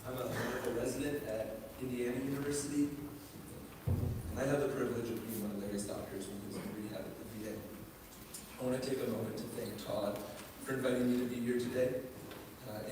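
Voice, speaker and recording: A young man offers a prepared tribute at a memorial, speaking formally in measured phrases. His mid-pitched voice carries heavy hall reverb and sounds far from the microphone, with clear noise in the recording. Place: large indoor memorial gathering space. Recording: echoey hall, distant mic, noisy.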